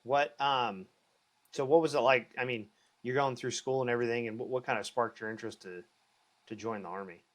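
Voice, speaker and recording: A man's voice: mid-range voice, fairly even intonation, fairly quick, a bit bright. A man hosting an interview, asking short, casual follow-up questions. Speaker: podcast host.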